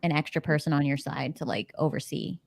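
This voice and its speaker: Young woman, lowish pitch, casual conversational book talk, home video call. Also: fairly even intonation, brisk, gravelly, no echo, clean studio sound. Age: young adult. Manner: informal, conversational.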